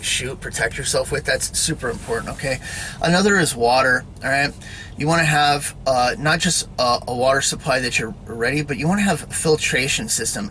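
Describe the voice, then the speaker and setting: A man's voice: medium pitch, sharp tone, slight background noise, poor audio. A man giving a casual, rambling spoken sermon, often checking in with "all right?" and "you know".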